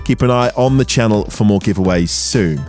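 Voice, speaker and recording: A man's voice: lowish voice, a little fast, a little mellow. A British man giving a voice-over outro to his viewers as a video host. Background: music.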